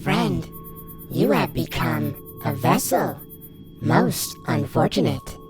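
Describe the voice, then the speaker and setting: Eerie creature lady, drags her words out slow, drops words, horror drama, music behind. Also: low-pitched, very animated, exaggerated voice. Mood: eerie, calm. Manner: clipped broken speech, dropping words. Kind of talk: horror audio drama acting.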